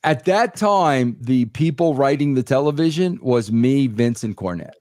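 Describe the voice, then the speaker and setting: A man with a raspy, husky voice telling an anecdote as a podcast host, emphatic and halting, in a buddy-to-buddy way. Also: mid-range voice, lively intonation, darkish tone, no room sound, studio quality. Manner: emphatic, halting, punctuated with "bro". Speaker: podcast host and former TV wrestling writer.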